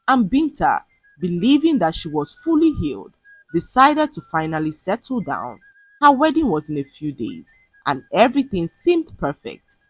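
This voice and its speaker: A woman narrating a scripted dramatic folktale as a storyteller, with a slightly husky voice. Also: fairly low pitch, no room sound, poor audio.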